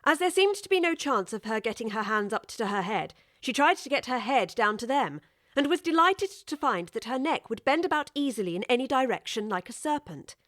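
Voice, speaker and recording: A woman narrates an audiobook story with measured, expressive delivery. Her voice sits a little high, and the recording is dry and free of room sound. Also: dry recording.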